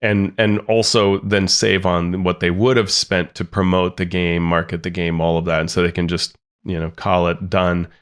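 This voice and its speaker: A man's voice: sits low, little pitch movement, moderate pace, few pauses, smooth. A man co-hosting a podcast, reading out quotes and commenting on them in a casual, restart-filled way. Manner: reads quotes aloud then comments, many restarts. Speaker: podcast co-host.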